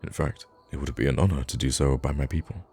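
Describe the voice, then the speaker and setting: An extremely low, slightly gravelly male voice as a man acts out a kind young prince in an intimate ASMR roleplay. He speaks in courtly, formal phrases with hesitant pauses, noble and gently witty, with faint music behind him. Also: fairly even intonation, heavily acted. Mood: resolute, earnest. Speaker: voice actor in an audio roleplay.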